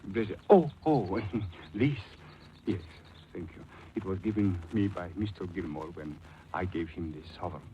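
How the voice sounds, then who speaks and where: A man's voice: low voice, a little slow, warm tone. An actor playing a formal, hesitant businessman client, polite and evasive, in 1940s radio drama style. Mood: polite, uneasy. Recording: muffled 1940s radio, light noise.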